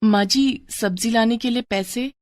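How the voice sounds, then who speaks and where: A woman's voice: fairly high pitch, measured pace, no echo, studio quality, voice pushed up, natural voice. A woman voicing a young newlywed daughter-in-law in a moral-story cartoon, polite, hurt and pleading. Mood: meek, defensive.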